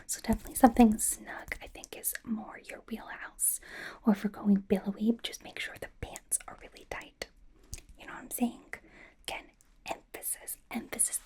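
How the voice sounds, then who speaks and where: Casting: woman as bubbly young female K-pop idol, slow breathy whisper, gushing personal stylist for ASMR roleplay. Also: mid-range voice, darkish tone, natural voice. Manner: gushing, reassuring, chatty with trailing repeats.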